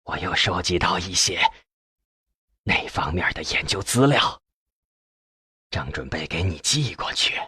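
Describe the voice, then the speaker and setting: An ailing old scholar, played by a male narrator, speaks in a low, feeble husk. His delivery is slow and halting, with breath leaking into the words as he explains things in an audiobook. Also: lively intonation, sharp tone, no room sound, clean studio sound, full character voice. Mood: weary. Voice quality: weak, breathy, husky. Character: ailing elderly male professor, frail, eager about research.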